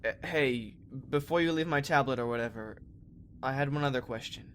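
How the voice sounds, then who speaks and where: A man's voice: mid-range voice, brisk, a bit bright, a little hiss or hum, close to an everyday voice. A man playing an earnest, curious young space trainee in a sci-fi fan parody, talking in a casual, hesitant way.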